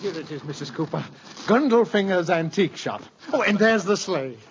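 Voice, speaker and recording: A jolly, chatty neighbor dad from an old 1940s radio comedy. His voice sits on the high side, boastful and drily joking. The recording is dull, with a faint layer of noise. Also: expressive, moderate pace. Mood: cheerful. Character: jolly, chatty neighbor, harried father of many. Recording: muffled, hissy old radio show.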